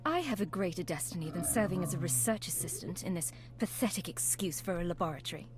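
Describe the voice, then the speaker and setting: A woman's voice: on the low side, lively intonation, fast, over music, natural voice. A woman playing a haughty, ambitious young Sith apprentice who schemes with disdain, in a video game. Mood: haughty, scheming. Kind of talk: video game character acting.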